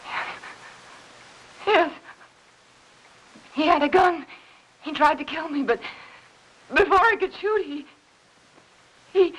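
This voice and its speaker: An American actress playing a frightened, distraught housewife in a 1950s film noir, speaking in halting, pleading phrases. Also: female voice, high voice, a bit bright, low-quality recording. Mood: desperate, pleading. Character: frightened, distraught housewife cornered by suspicion, guilt-stricken. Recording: old 1950s film soundtrack.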